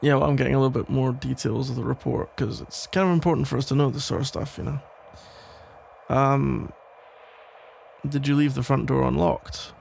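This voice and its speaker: Guy doing a worried protective cop ex, asmr roleplay, super croaky voice, casual n a bit exasperated, slight noise behind. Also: medium pitch, fairly even intonation. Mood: calmer, inquisitive. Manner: halting, casual, gently exasperated. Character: protective, worried male police officer, listener's ex-boyfriend. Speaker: audio roleplay performer. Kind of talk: ASMR roleplay, conversational one-sided acting.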